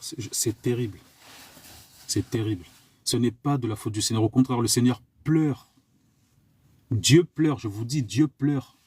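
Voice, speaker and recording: A man's voice: on the low side, darkish tone, breathy edge. A man from France preaching to his listeners, repeating pointed questions and coughing at times. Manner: exhorting, repeats rhetorical questions. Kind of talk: live online sermon.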